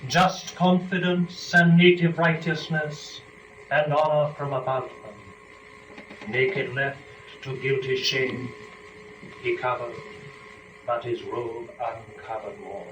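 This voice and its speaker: A man narrating epic poetry as a dramatic reading, solemn and measured, with declamatory phrasing and weighty pauses. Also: mid-range voice, steady tone. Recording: echoey room, distant microphone, clear noise.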